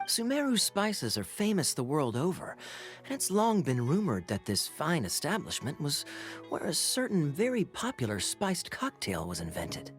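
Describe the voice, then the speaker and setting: An actor playing a suave, sly male knight captain in a video game cutscene, teasing and smooth with playful chuckles. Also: a little high, huge pitch swings, normal speed, faint music behind.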